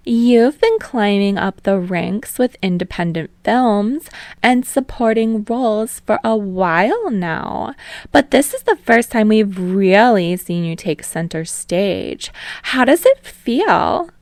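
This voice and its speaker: Bubbly young talk show host with a bright, lifted voice that stretches its words playfully, as a woman reader puts her on. The lines come out loud and gushing, full of showbiz sparkle. A dry, close recording with a touch of gravel at the bottom. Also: medium pitch, expressive, takes it slowly, no room sound, voice pushed up. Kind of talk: fan fiction audiobook reading.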